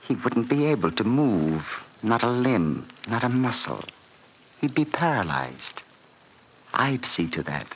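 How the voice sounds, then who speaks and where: An actor playing a sinister, menacing villain called the fat man, speaking in a smooth, relishing manner in an old radio drama. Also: mid-range voice, very animated, unhurried, fairly bright tone, rough recording. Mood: gloating. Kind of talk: 1940s radio acting. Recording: vintage radio recording with hiss.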